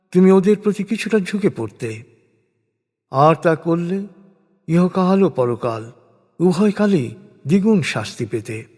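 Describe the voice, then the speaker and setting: A man reading a scripture translation as solemn, scripted religious narration, in a measured, declamatory way. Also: fairly high pitch, darkish tone. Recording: long cavernous reverb.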